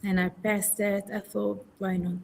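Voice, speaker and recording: A woman's voice: medium pitch, flat delivery, unhurried, creaky, slight background noise. A young woman, a trainee teacher chatting in English over a video call, thoughtful and hesitant, often correcting herself. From Indonesia. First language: Indonesian. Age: young adult. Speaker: university student, trainee teacher. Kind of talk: casual conversation practice.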